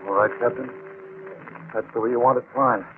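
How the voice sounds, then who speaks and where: An actor playing an embittered, frantic sailor who warns of doom, in old radio melodrama style. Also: male voice, mid-range voice, steady tone, moderate pace, lightly acted. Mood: bitter, ominous. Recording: tinny, noisy old-time radio recording.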